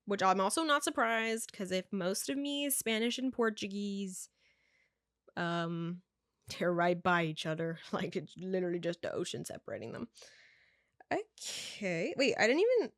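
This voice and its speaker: A woman's voice: mid-range voice, a bit bright, no echo, clean studio sound. A young woman vlogger reading her results aloud in casual chat, stumbling over words and adding offhand asides.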